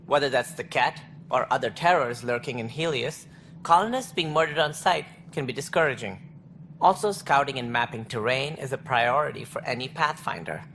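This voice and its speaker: An actor playing an officious alien station director in a video game, speaking precisely and formally with a wry, earnest streak. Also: male voice, mid-range voice, moderate pace, a bit bright, a little hiss or hum, close to an everyday voice. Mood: wry, advisory. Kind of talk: video game dialogue acting.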